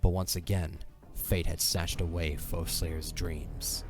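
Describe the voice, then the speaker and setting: Very deep-voiced man narrating dramatically. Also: little pitch movement, takes it slowly, darkish tone, soft background music. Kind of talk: dramatic scripted story narration.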